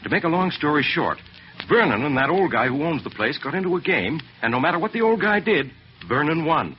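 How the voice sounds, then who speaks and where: A man's voice: on the high side, sing-song, fairly quick. An actor playing a missing man's anxious friend, giving an earnest account to a detective in an old radio drama. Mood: earnest, uneasy. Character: anxious male friend of a missing man, a client. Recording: muffled, noisy vintage radio broadcast.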